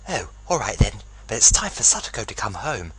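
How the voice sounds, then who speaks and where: A woman doing a low, smug teenage boy. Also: sing-song, brisk, fairly bright tone, husky, full character voice. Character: smug teenage boy with a showy put-on accent.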